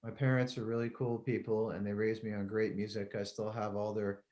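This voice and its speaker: A man's voice: lowish voice, flat delivery, fairly quick, a little mellow. A middle-aged man from Connecticut, a musician guest talking casually in an interview, rambling and laughing easily.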